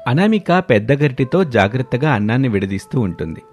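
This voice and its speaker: A man giving scripted narration of a family story in a steady storytelling manner. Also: mid-range voice, brisk, soft background music.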